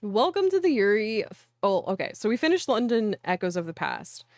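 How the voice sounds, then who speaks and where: A woman's voice: medium pitch, very animated, fairly quick, darkish tone, dry recording, clean studio sound. A woman hosting a livestream of visual novels, chatting casually and playfully and sometimes reading aloud, in an informal way. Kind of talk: casual game stream chat with reading aloud.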